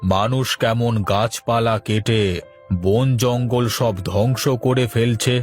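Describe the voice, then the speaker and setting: A man voicing a serene, wise guru in a cartoon, preaching solemnly in drawn-out phrases. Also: mid-range voice, steady tone, faint music behind, lightly acted. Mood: solemn, benevolent. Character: serene wise guru blessing and instructing his disciple. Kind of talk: cartoon story dialogue.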